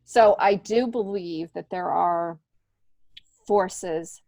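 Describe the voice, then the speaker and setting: A middle-aged woman talking casually and candidly as an interview guest, telling stories in an animated way and acting out bits of dialogue. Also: lowish voice, extremely slow, vocal fry, no room sound. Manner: animated storytelling, acts out remembered dialogue. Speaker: comedian and TV worker, podcast guest.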